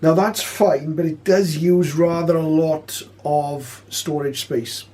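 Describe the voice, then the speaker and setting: A man presenting an informal spoken tutorial, explaining step by step with hesitant pauses. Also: fairly high pitch, steady tone, warm tone. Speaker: presenter of a shortwave radio tutorial.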